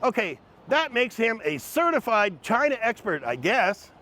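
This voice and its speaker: A man's voice: very high-pitched, big pitch swings, measured pace, pushed and bright. A man hosting a commentary video, mocking his subjects in a snarky, sarcastic way. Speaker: commentary host.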